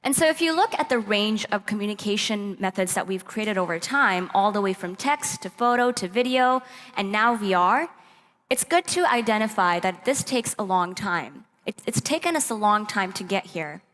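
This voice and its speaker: A woman's voice: mid-range voice, animated, normal speed, a bit bright. A woman from Pakistan giving a prepared conference talk as a presenter on stage in a large hall. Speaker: presenter at a youth tech summit. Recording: echoey hall, long reverb.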